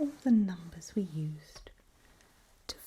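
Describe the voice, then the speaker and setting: A woman doing a whispered ASMR doctor roleplay, speaking breathily in gentle, reassuring, halting short phrases close to the microphone. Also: on the low side, animated. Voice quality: breathy, mostly unvoiced whisper. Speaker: ASMR performer role-playing a doctor giving a memory test.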